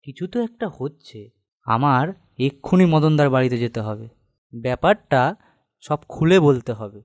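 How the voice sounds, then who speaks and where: A man's voice: medium pitch, a little mellow, dry recording, studio quality, lightly acted. A man acting a loyal, righteous villager in a cartoon, speaking in an earnest, urgent manner. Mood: indignant resolve. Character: loyal, righteous young villager warning his friend. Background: music.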